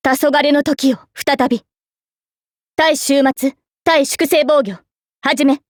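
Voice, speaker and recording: A high, youthful female voice for a noble mage-knight in an anime game. She speaks formally and with resolve, like a dignified leader commanding in battle. The sound is dry and close. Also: steady tone, slow pace, no room sound. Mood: resolute, commanding. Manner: formal, crisp commanding delivery.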